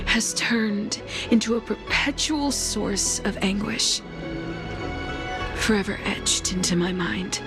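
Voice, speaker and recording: Slow, solemn woman alchemist. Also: medium pitch. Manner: measured, formal, solemn delivery with deliberate pauses. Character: blind woman chief alchemist, long-lived, stoic, quietly bitter. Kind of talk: video game story dialogue.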